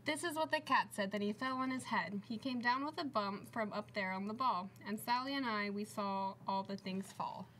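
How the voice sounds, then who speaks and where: A woman's voice: mid-range voice, normal speed, hardly any pauses, a bit bright, slight background noise, low-quality recording. A woman co-reading a children's picture book aloud for a story time.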